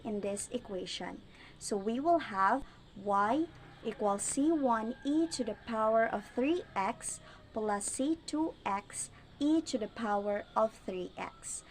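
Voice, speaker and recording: Young woman walking thru a math problem, slow, kinda high voice. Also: slight background noise, rough recording. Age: young adult. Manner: careful, methodical explaining. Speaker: student presenter. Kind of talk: math lesson presentation.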